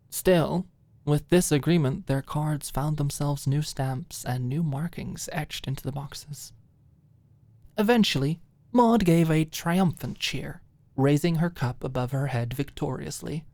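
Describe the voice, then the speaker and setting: A woman's voice: low-pitched, dark tone, no echo. A woman narrating scripted fiction as an ASMR audiobook storyteller.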